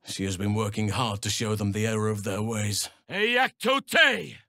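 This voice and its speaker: An actor playing a wise, gentle and steadfast elf druid in a fantasy video game, speaking in measured, formal phrases. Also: male voice, lowish voice, animated, no echo, clean studio sound, heavily acted. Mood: fierce battle cries. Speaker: video game companion character. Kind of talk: acted fantasy game dialogue.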